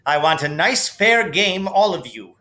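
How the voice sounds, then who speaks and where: A man voicing a brisk, stern female referee from a storybook in a clipped, commanding manner. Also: high-pitched, expressive, sharp tone, voice pushed up, heavily acted. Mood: firm.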